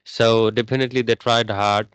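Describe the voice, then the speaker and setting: Young guy practicing english, halting, lots of uh and restarts, smooth clear voice. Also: mid-range voice, flat delivery, fairly bright tone, no room sound. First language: Bengali. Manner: halting, many restarts and fillers. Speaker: English learner. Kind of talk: casual English practice chat.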